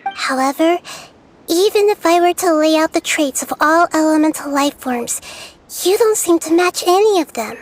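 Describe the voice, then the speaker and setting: A woman voicing a young goddess girl who is gentle, curious and wise, explaining things in a soft, thoughtful way. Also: very high voice, lively intonation, normal speed, slight background noise, rough recording.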